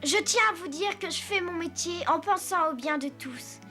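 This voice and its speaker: Little boy elephant king for a cartoon, super high and bright, earnest n polite. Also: moderate pace, faint music behind. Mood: grateful, earnest. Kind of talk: French animated series dubbing.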